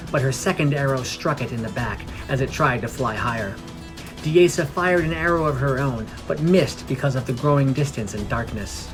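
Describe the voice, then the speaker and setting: A man reading scripted narration for a fantasy adventure story. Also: medium pitch. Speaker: narrator of a fantasy fiction podcast.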